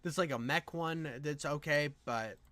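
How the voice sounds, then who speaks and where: A man's voice: a little high, measured pace. A man giving casual reaction commentary in an effusive, rambling, slangy way with plenty of swearing. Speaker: reaction video host.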